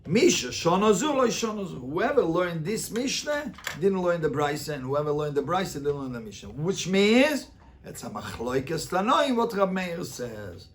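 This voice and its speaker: A man's voice: on the high side, big pitch swings, normal speed, slight background noise. A male rabbi teaching an interactive Talmud lesson, explaining emphatically and repeating phrases to make his point.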